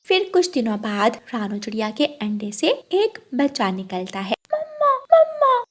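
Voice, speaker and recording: A woman's voice: mid-range voice, big pitch swings, moderate pace. A woman reading scripted narration for a children's cartoon story.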